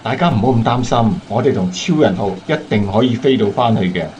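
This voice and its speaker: A male actor playing an earnest, steady young bomber captain in a radio drama. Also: fairly low pitch, a little fast, muffled recording, a little hiss or hum, lightly acted. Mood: calm, assured.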